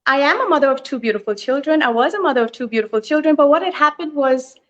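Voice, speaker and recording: A middle-aged woman from Thailand, speaking as a guest in an earnest, reflective way with frequent pauses. Her voice sits a little high. The sound is dull and lo-fi, as on an online live stream. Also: expressive. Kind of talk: reflective personal storytelling.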